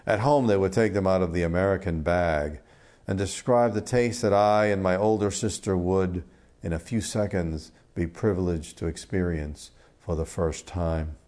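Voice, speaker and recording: An older Cuban-born man who grew up in Miami, a poet, speaking in a thoughtful, deliberate way. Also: sits low, darkish tone. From Cuba, came to the US as a child and grew up in Miami. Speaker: poet and art critic. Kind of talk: reflective interview talk and poetry reading.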